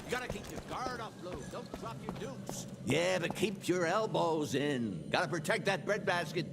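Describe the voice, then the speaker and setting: A man's voice: high voice, animated, normal speed, gravelly, noisy background. An actor playing a feisty old father and veteran monster hunter, folksy and cocky, in a video game cutscene. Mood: coaching. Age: older.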